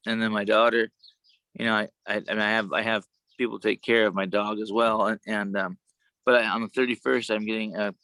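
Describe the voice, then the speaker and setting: A man chatting casually on a video call, with a worn, sometimes gravelly voice and a halting, rambling way of talking. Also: fairly low pitch, little pitch movement, pushed and bright, no echo. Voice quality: gravelly at times. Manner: rambling, halting, many restarts. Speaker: show co-host.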